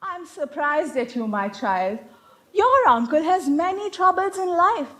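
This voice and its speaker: Woman doing a prim strict governess on stage, high voice that swoops around, pompous and stern. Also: lively intonation, unhurried, a bit bright, heavily acted. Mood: disapproving, moralizing. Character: strict, prim Victorian governess, fond of moralizing. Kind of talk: stage comedy acting. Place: live stage play.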